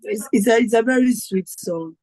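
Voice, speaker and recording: A woman's voice: a little high, normal speed, darkish tone, no room sound. A woman co-hosting a reaction show in casual chat, speaking in simple short phrases and repeating herself.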